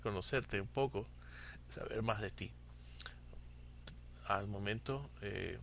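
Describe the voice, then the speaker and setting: A man from coastal Ecuador, speaking with a coastal Ecuadorian accent, leaves a casual recorded message for a podcast host in a halting, unhurried way. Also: fairly low pitch, a bit bright, a little hiss or hum. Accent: coastal Ecuadorian Spanish. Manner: halting and unhurried, pausing often to find words. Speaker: listener sending a recorded message.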